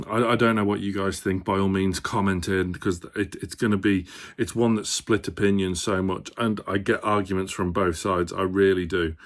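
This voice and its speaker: A man presenting on a football fan channel, talking casually to viewers and thinking aloud as he gives his opinion. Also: low voice. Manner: hesitant, thinking aloud, hedging.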